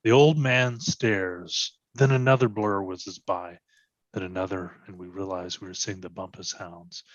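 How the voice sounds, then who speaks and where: A man's voice: low-pitched, steady tone, measured pace, dry recording. A man reading stage directions as dramatic scripted narration for a readers theatre. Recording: dull, lo-fi sound.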